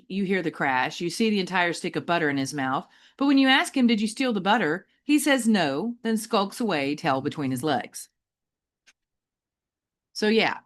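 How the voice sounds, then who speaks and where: Woman storyteller for a children's novel: lower register, very animated intonation, deliberate and emphatic phrasing. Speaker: teacher reading a children's novel aloud. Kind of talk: expressive audiobook-style storytelling for children.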